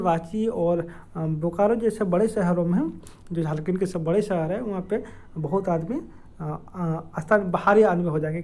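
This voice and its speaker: A man with a Jharkhand accent giving an informal explainer in a halting, hesitant way, with many filled pauses and repeated words. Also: high-pitched, mellow. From Dumka district, Jharkhand, India. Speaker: educational video creator. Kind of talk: informal explainer with screen walkthrough.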